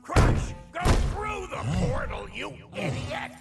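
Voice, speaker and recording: Villain: tiny gravelly sorcerer, squeaky high and wildly swinging, slow sneering tantrums, game score behind. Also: male voice, very animated, over loud music, heavily acted. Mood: furious. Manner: shouting tantrums and gloating. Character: small scheming male villain sorcerer, hot-tempered. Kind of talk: video game cutscene acting.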